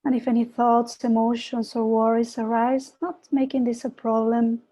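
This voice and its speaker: A woman from Peru, a mindfulness teacher, giving an online talk and gently guiding a meditation over a video call. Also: on the high side, little pitch movement, low-quality recording. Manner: slow, soft guiding voice with gentle invitations. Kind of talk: online presentation and guided meditation.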